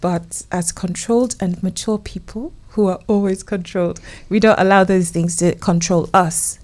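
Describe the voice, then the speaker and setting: A woman's voice: fairly low pitch, fairly even intonation, normal speed, darkish tone. A woman from England, a relationship consultant speaking in a casual interview, earnest and fond of telling stories and acting out what her husband says.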